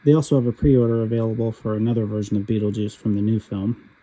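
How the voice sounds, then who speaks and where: A man narrating a toy figure review, a little raspy from a cold, in a steady, matter-of-fact way. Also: lowish voice, fast talker, low-quality recording. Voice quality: husky. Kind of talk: calm voice-over product review.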